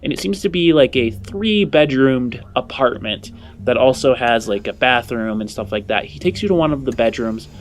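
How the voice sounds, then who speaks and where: A man's voice: mid-range voice, lively intonation, normal speed. A man narrating a tabletop roleplaying game as game master, improvising descriptions and often saying "like". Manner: frequent "like" while describing scenes.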